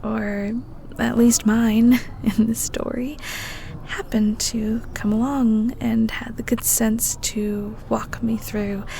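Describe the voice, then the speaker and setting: A young woman acting in an audio roleplay as an awkward, fond best friend with a hidden crush, speaking haltingly and bashfully with giggly hesitations. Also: on the high side, little pitch movement, creaky, mellow, a little hiss or hum, rough recording. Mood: bashful, proud.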